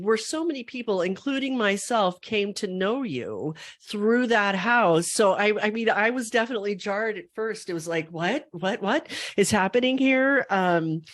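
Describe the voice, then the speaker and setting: A middle-aged woman hosting a podcast interview, getting over a cold and a little sniffly, chatting in a rambling way with many fillers. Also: mid-range voice. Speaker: podcast host. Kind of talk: casual interview chat.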